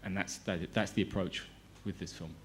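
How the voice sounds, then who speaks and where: Man, lowish voice, filmmaker at press conference, faint background noise. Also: fairly even intonation, moderate pace. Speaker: film director and screenwriter.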